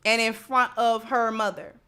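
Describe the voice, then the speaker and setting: A woman's voice: fairly high pitch, flat delivery, normal speed, sharp tone, clear, resonant tone. A woman hosting livestream social commentary, speaking emphatically and often repeating phrases to drive a point home.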